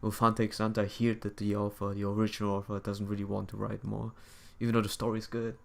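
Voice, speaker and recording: A young man livestreaming a game, chatting casually in a halting, rambling way and often repeating his phrases. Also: lowish voice, monotone, gravelly. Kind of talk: casual chat while gaming.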